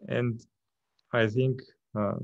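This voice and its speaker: Romanian-accented man, gravelly and calm, muffled dry call audio. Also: mid-range voice, slow, dry recording. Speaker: product manager guest. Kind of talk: online panel talk. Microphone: video call.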